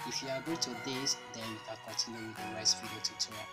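Flat-toned man narrating a tech tutorial. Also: lowish voice, quick pace. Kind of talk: instructional screen-recorded tutorial narration. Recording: loud music bed under voice-over. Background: loud background music.

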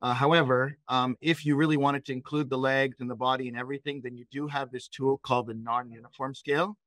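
A middle-aged man leading a live software training session in a conversational, explanatory way, often posing questions and answering them. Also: medium pitch, fairly even intonation, quick pace, no echo. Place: indoors, on a video call.

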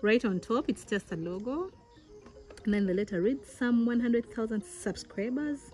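A young woman from Uganda, a vlogger, unboxing outdoors in a village yard, talking with giddy excitement, laughing often and exclaiming. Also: mid-range voice. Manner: giggly, breathless with excitement, frequent laughs and exclamations. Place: outdoors in a rural village yard, seated on a mat.

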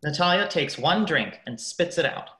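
A woman's voice: on the low side, expressive, moderate pace, fairly bright tone. A woman narrating a radio play, reading scripted stage directions. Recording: echoey room.

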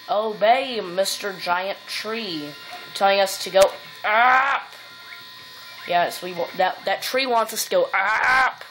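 A woman's voice: mid-range voice, a bit bright, poor audio. Chatty woman for let's play commentary, rambly and giggly, phone-recorded, light background hiss. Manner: rambling, giggly, lots of fillers and silly rhymes. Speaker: gameplay commentator. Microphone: phone filming the TV.

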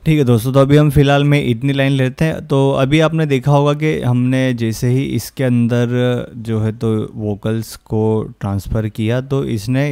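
Clear, smooth man explaining software. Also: mid-range voice, no echo. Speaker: music production tutorial host. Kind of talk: informal screen-recorded software tutorial. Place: close, dry indoor voice-over.

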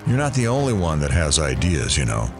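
A man's voice: rumbling low, sing-song, a little fast, creaky, over music, exaggerated voice. A man playing a weary, wry investigator in an audio drama, sardonic and brooding. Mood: wry, grumbling. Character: weary, wry male investigator haunted by doubt. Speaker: lead character of an audio drama.

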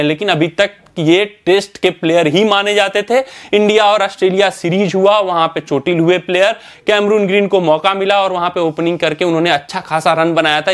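High-voiced young man breaking down cricket. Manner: explanatory, with fillers and self-corrections. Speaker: cricket news presenter. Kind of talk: cricket analysis talk to camera. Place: indoor studio in front of a screen.

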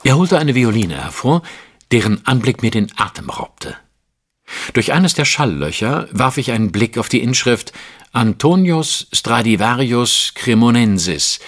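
A man's voice: medium pitch, expressive, unhurried. An actor playing a refined, self-assured Victorian detective, narrating his case in an audio drama with dry, formal, precise wit. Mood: awed. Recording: faint music under the voice. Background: music.

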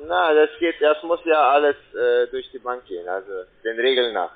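Guy doing call centre sales talk, kinda halting, medium pitch, tinny phone sound. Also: lively intonation. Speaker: call centre agent selling trading investments. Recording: tinny narrowband phone line.

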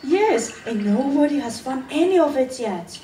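A teenage girl acts a sensible, reassuring schoolgirl in a radio play. Her voice is high and sounds far from the microphone in an echoey theatre. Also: animated, moderate pace, mellow, a little hiss or hum. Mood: steady, concerned. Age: teens. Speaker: school drama performer. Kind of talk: radio drama acting. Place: stage of a studio theatre. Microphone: far camera.

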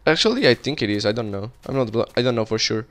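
A man's voice: mid-range voice, animated, quick pace, a bit bright. Chatty Colombian-accented young man gaming. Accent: Colombian Spanish. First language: Spanish. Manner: rambling and chatty, talking while playing, frequent restarts. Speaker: gaming video creator. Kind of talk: casual gameplay commentary.

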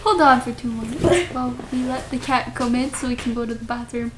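A woman's voice: a little high, brisk, noisy background. A young woman co-hosting a let's play, chatting casually in a small room. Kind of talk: casual gameplay chat. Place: small dim room indoors. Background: game music.

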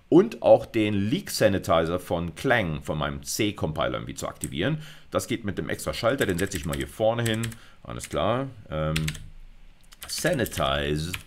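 A man's voice: deep voice, slight background noise. A middle-aged man giving an informal video lecture, explaining conversationally with colloquial asides. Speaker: university lecturer. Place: indoors at a computer, recorded lecture.

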